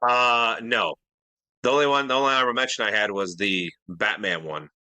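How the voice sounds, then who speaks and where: A man's voice: fairly low pitch, steady tone, brisk, pushed and bright, no echo. A man co-hosting a podcast in casual, bantering conversation. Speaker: podcast co-host.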